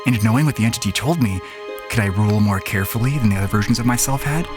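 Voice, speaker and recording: A man's voice: lowish voice, very fast, close to an everyday voice. A man playing a jaded antigravity racing pilot, telling his story in a blunt, colloquial, world-weary way. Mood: reflective. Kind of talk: first-person audio drama narration and acting. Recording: voice over music. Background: music.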